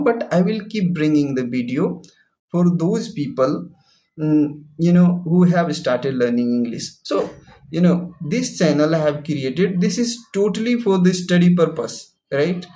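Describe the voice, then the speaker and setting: A man's voice: a little high, lively intonation, a little slow, dark tone. A young man whose first language is Hindi, giving an earnest, repetitive motivational English lesson from a ground-floor room at home. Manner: earnest, repetitive, addresses viewer directly. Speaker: English teacher. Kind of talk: motivational talk to camera.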